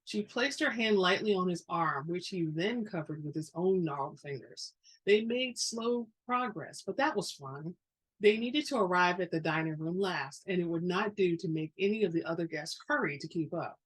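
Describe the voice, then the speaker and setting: A woman's voice: fairly low pitch, expressive, normal speed, breathy edge, rough recording. A woman giving a dramatic reading of fiction as a storybook narrator. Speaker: audiobook-style reader.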